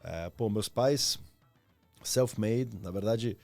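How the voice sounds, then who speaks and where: A man's voice: fairly low pitch, slow pace, creaky, a little mellow, faint music behind. A man, telling his story casually and reflectively as a podcast guest, in slangy, informal speech. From Argentina. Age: 30s. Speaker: podcast guest, former marketing executive.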